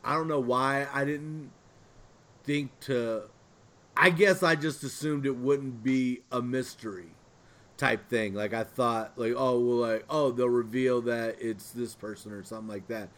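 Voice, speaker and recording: Male podcast host, mid-pitched voice, informal sweary chat between friends. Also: steady tone, measured pace, a bit bright.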